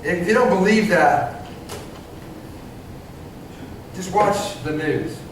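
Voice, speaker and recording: A man in his forties preaches from the pulpit in a high, conversational voice, stumbling into restarts and checking in with his listeners. He sounds distant, in a strongly echoing church. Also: slight background noise. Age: 40s. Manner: halting, many restarts and stammered repeats; conversational, asks the congregation questions. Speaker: pastor. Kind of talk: sermon. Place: church sanctuary. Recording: very echoey church, voice from far off.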